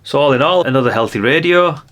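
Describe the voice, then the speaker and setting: A man's voice: medium pitch, animated, quick pace, fairly bright tone. An older man giving a casual hands-on product demonstration, chatty and unscripted, thinking aloud as he goes. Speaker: presenter of a radio gadget review channel.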